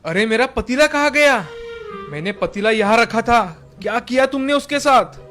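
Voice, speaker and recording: A man acts out an excitable farmer for a cartoon fable, in a high-pitched voice. He is secretive and theatrical, quick to exclaim and lament. Faint music plays behind him. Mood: alarmed. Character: hard-working farmer husband, secretive, excitable, given to lamenting. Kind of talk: exaggerated Hindi moral-story cartoon dialogue.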